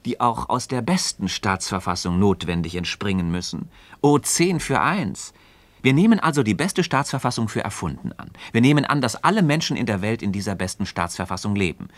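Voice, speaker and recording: An actor playing an earnest philosopher in a literary radio dialogue, speaking formally and didactically, building each point with emphasis. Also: male voice, on the low side, lively intonation, fairly quick, dry recording, close to an everyday voice. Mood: probing, didactic. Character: earnest, insistent male philosopher leading a Socratic dialogue. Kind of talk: German literary radio play dialogue, formal stage diction.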